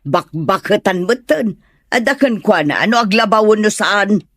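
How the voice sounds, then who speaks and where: A woman's voice: mid-range voice, expressive, moderate pace, no room sound, studio quality, close to an everyday voice. A woman playing a bossy, unsympathetic middle-aged mother-in-law, domineering and dismissive, in an Ilocano audio drama. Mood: irritated, scornful.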